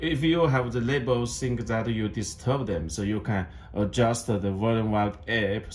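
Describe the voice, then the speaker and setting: Slow-paced non-native English-speaking man, product tutorial. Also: medium pitch. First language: not English. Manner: halting, repeats phrases. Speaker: product demonstrator. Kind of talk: step-by-step tutorial, unscripted.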